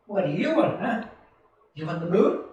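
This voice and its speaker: A man playing an idealistic, restless young small-town American in a radio play, with an excitable, halting, folksy way of talking. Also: high-pitched, dull audio. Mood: dreamy, eager. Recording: roomy, distant stage recording, faint noise.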